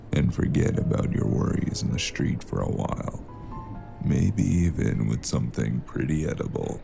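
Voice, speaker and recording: A man playing a brooding noir storyteller, narrating an audio drama deliberately. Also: very deep voice, vocal fry, dark tone, heavily acted.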